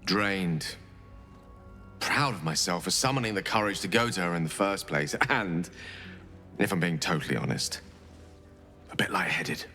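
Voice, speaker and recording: An actor playing an earnest, eloquent wizard, articulate and theatrical. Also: male voice, low voice, pushed and bright, heavily acted. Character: earnest young wizard, eloquent, self-reproaching. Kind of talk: video game voice acting.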